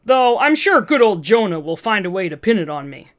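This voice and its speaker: Woman doing a flippant, animated young male superhero. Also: medium pitch, fairly quick, close to an everyday voice. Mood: lame joking. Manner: joking, slightly stammering, inquisitive. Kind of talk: dramatised fan fiction reading.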